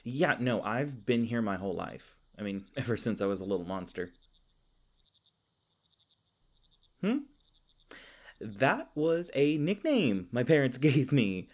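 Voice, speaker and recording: A man's voice: mid-range voice, very animated, normal speed, darkish tone. A man playing a flustered, skittish young elf park ranger in an audio roleplay, stammering and awkward with goofy folksy exclamations. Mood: awkward, friendly.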